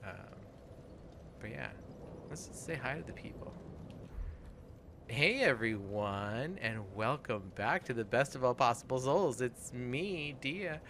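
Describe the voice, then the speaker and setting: A person with a man's voice hosting a casual gaming let's play, talking in a halting way and swearing freely. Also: medium pitch, animated, fairly bright tone, slight background noise. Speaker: host and player of a gaming show.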